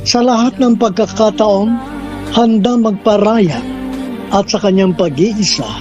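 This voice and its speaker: A man narrating a radio drama in a sentimental, measured, scripted poetic style. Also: high-pitched, highs cut off, over music.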